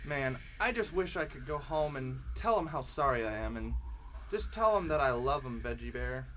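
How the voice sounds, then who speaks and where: A man's voice: medium pitch, animated, normal speed, fairly bright tone, a little hiss or hum, close to an everyday voice. A man playing an earnest, homesick young schoolboy in an amateur radio drama, who cries out when startled. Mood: remorseful.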